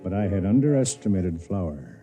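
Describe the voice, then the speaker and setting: An actor playing a kindly, wry man in a 1950s radio drama, speaking in a measured, gentle way. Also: deep voice, very animated, muffled recording. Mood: brooding narration. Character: kindly, wry, compassionate space-station man, narrating. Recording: vintage radio recording with music behind.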